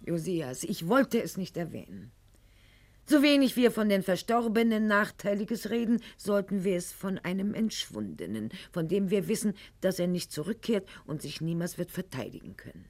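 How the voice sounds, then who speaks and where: A woman's voice: medium pitch, sing-song, a little mellow. An actress playing a proud, articulate eighteenth-century court lady in a radio play monologue, with formal, mannered diction and an indignant, self-justifying air. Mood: solemn, confiding. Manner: eloquent, mannered, formal diction with dramatic pauses and sudden outbursts.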